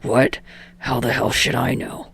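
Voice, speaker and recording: A woman's voice: measured pace, a bit bright, dry recording, lightly acted. A woman reading a fan-fiction podfic, voicing a hot-tempered young male celebrity who speaks in curt, sweary growls. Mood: gruff. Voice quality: gravelly, snarling. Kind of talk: podfic character voice.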